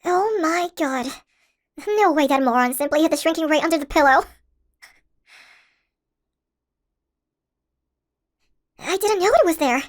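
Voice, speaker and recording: Casting: woman to play mouse-sized young college woman, very high voice, playful, fond, for audio roleplay. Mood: exasperated. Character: tiny shrunken young college woman, playful affectionate crush. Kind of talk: scripted ASMR audio roleplay.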